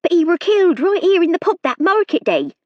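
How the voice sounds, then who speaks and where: A woman voicing a nervous, toothless serving woman who lisps and speaks in rough rustic dialect. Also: very high-pitched, sing-song, a little fast, no echo, dull audio, pitched above the performer's own voice. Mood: anxious pleading. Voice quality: lisping through missing teeth. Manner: rustic dialect, anxious and pleading.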